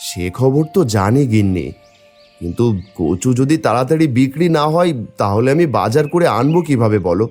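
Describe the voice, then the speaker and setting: A man's voice: medium pitch, expressive, fairly quick, a little mellow, soft background music, lightly acted. A man voicing a poor, wistful village taro seller and put-upon husband in a cartoon story, plaintive and pleading. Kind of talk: Bengali cartoon story voice acting.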